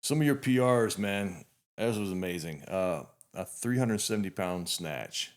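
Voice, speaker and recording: A man in his fifties hosting a casual podcast interview, talking in a relaxed, rambling way with frequent restarts. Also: deep voice, a little slow. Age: 50s. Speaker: podcast host.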